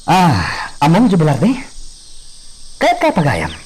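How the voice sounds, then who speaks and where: Man acting a commanding hacienda master, lively intonation. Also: a little high, unhurried, a little hiss or hum. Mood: assertive. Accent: Ilocano. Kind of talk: Ilocano radio drama acting.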